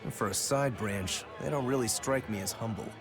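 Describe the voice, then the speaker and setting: A man's voice: medium pitch, normal speed, close to an everyday voice. A man voicing a laid-back, wry rogue in a video game, casual and curious. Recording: music behind the voice.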